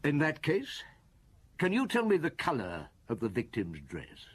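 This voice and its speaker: An actor playing a weary, relentless middle-aged chief inspector in a radio detective drama, speaking formally and deliberately. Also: male voice, a little high, huge pitch swings, husky, exaggerated voice. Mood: probing, accusing.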